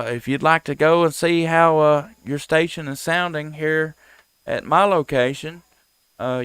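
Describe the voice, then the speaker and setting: A man's voice: a little high, fairly bright tone, clear, resonant tone, dry recording. A man, hosting an amateur radio live stream with casual, folksy, friendly chat and station calls. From United States.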